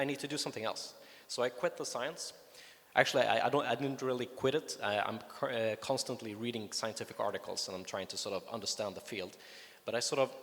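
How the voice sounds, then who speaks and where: A man's voice: medium pitch, fairly bright tone. A man with a Swedish accent, a native Swedish speaker, giving a conference talk with enthusiasm and lots of fillers and restarts. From Sweden. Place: conference hall. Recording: reverberant hall.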